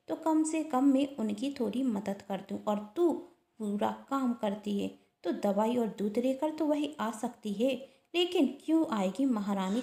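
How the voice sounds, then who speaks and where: A scornful old mother-in-law in a domestic story, acted out by a woman narrator. She grumbles sarcastically in a raised, creaky voice, with room echo around it. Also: on the high side, warm tone. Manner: scornful, complaining. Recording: roomy echo.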